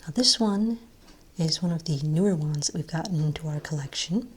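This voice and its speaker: A woman speaking softly and breathily, often nearly whispering, as a gentle, unhurried, reassuring shopkeeper in an ASMR role play. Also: deep voice, husky. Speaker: ASMR role-play performer as wand shop owner. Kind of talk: soft-spoken ASMR role play, improvised sales patter.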